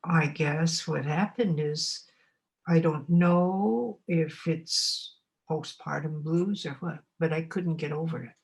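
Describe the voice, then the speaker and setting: A woman in her eighties from Montana, recalling her life in an oral history interview. She talks unhurriedly and reflectively, often pausing to find her words. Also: low-pitched, creaky. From Montana, United States. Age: 80s.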